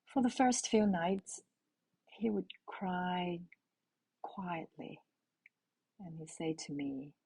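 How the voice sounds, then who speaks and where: A middle-aged woman from Hong Kong, a native Cantonese speaker, telling a personal parenting story in a calm, intimate way and asking her listeners questions. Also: fairly low pitch, slow, gravelly, long pauses, slightly rough, low-quality recording. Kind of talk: reflective spoken essay.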